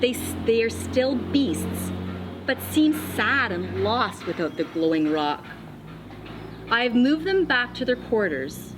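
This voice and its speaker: A woman schoolteacher reading a children's storybook aloud, expressively and deliberately, with dramatic pauses. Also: on the high side, very animated, background noise. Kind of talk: read-aloud of a children's storybook with teacher asides.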